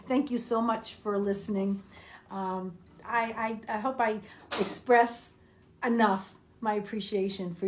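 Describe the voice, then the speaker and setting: A woman's voice: medium pitch, darkish tone. A woman singer-songwriter chatting casually during a live song share from home. Her voice is dry and throaty, and she talks with lots of ums and restarts, thanking her listeners. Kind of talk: casual livestream chat between songs. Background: her own acoustic guitar.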